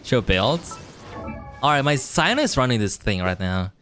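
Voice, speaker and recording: Young male streamer, non-native English accent, loose rambling chat, very lively pitch. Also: normal speed, soft background music. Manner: chatty, rambling, reads and answers chat. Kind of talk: casual chat while playing a game.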